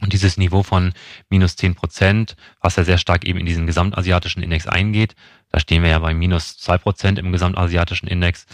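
Low, even-voiced man, explaining at length. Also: quick pace, no room sound. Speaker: investment expert guest. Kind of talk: analytical interview commentary on markets.

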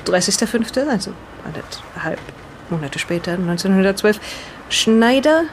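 A careful, courteous lady acted by a woman with a medium-pitched voice. She speaks in measured phrases, sometimes halting over words, in an improvised audio drama. A light vehicle noise sits behind her. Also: expressive, close to an everyday voice. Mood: careful, studious. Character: polite, careful woman reading an explorer's old diary. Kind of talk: improvised in-character audio play with diary reading.

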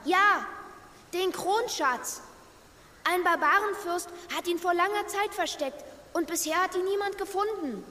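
A woman playing an earnest, polite young girl in trouble in a children's audio play, speaking a little haltingly. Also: very high voice, bright, forceful tone, exaggerated voice. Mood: hesitant, earnest. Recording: long reverb, faint background noise.

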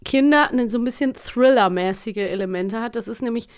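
A woman's voice: a little high, brisk, smooth. A young woman chatting informally and enthusiastically as a podcast co-host. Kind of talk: casual podcast chat about children's audio dramas.

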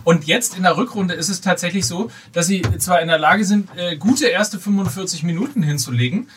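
A man's voice: high-pitched, lively intonation, brisk, a bit bright, slight background noise. A man on a football podcast panel, chatting casually in a conversational way.

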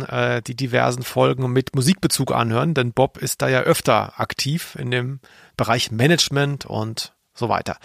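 A man's voice: mid-range voice, vocal fry, a bit bright, no echo. A man co-hosting a podcast, chatting casually and with dry irony about audio dramas. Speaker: podcast co-host.

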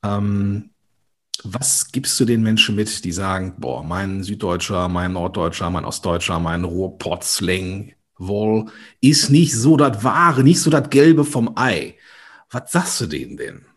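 A man's voice: on the low side, expressive, a little slow, husky. A man hosting a casual podcast conversation, playful and stumbling a little over his words. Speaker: podcast host.

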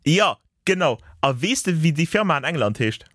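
A man's voice: a little high, big pitch swings, fairly quick, a bit bright, no room sound, studio quality. A man with a Luxembourgish accent co-hosting a podcast, playfully sharing trivia in casual chat. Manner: playful, shares trivia with enthusiasm. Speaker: podcast co-host.

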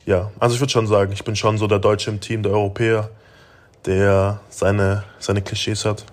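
A young man from Germany in his late teens, answering interview questions in a relaxed, rambling way with many fillers and restarts. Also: on the low side, moderate pace. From Germany (Augsburg). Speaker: interview guest, basketball player.

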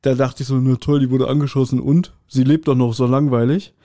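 Guy podcasting, mellow tone, very dry sound, chatty retelling w little acted quotes. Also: medium pitch, little pitch movement, a little fast, no room sound. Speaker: podcast host. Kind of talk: casual chat recapping an audio drama.

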